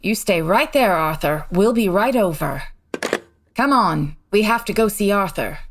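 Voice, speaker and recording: An actress plays a high-society lady detective from an old radio mystery. She is poised and businesslike, mid-pitched with lively melody. She questions people politely and takes charge. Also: measured pace, slight background noise. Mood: concerned, decisive. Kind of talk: period radio-drama acting.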